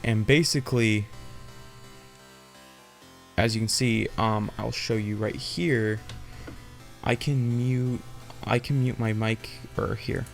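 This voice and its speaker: A man's voice: medium pitch, vocal fry. A man hosting a casual, unscripted tutorial, explaining in an informal, rambling and hesitant way. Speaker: tutorial host.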